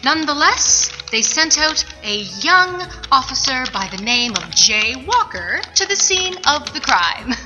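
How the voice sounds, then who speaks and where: A woman plays a meddling, exasperated narrator of a radio comedy. Her high voice moves in lively swoops. It carries long hall reverb, with music behind. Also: unhurried, hardly any pauses, exaggerated voice. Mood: brisk storytelling. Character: exasperated meddling female storyteller. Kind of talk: comic scripted radio play narration.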